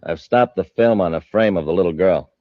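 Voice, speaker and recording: A scheming, boastful male crook played by a radio actor in a vintage space serial. His voice sits low, and the old recording is dull and lo-fi. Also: fairly quick, no room sound, close to an everyday voice. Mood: smug, boastful. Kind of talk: old-time radio sci-fi acting.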